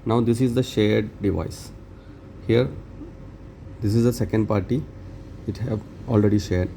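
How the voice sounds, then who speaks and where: A man presenting an unscripted step-by-step tech tutorial in halting, short phrases with non-native phrasing. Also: lowish voice, steady tone, vocal fry, mellow, a little hiss or hum.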